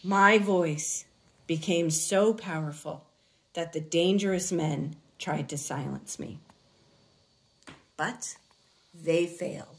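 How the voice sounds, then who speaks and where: A woman reading a children's story aloud expressively, in a deliberate way with dramatic pauses. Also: lowish voice. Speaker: picture book reader.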